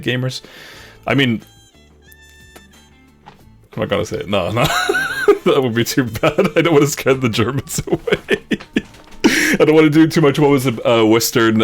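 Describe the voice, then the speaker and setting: A male livestreamer with a Flemish-tinged Dutch accent speaks in a mid-range voice. He rambles casually to his chat, doubling back on phrases in a giggly manner, with music playing softly behind him. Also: mid-range voice. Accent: Belgian-Dutch (Flemish-leaning). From Luxembourg, of Dutch parents. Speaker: livestreamer, VTuber host.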